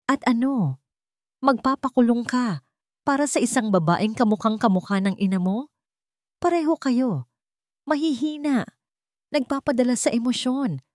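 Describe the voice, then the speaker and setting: A woman performs a vengeful older villainess from a radio drama. She sneers and taunts, savoring her words with deliberate menace. The sound is dry and close. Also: a little high, expressive, a little mellow, no echo, voice pushed up, close to an everyday voice. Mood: taunting. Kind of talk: Tagalog radio drama storytelling.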